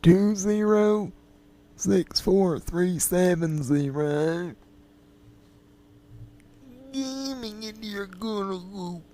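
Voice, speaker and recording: A man prank-calling while putting on a woman who claims her voice is deep and loud, insistent and repeating himself on a tinny phone line. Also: lively intonation, very slow, long pauses, a little hiss or hum, exaggerated voice. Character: gruff woman insisting she has a deep voice. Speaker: prank caller.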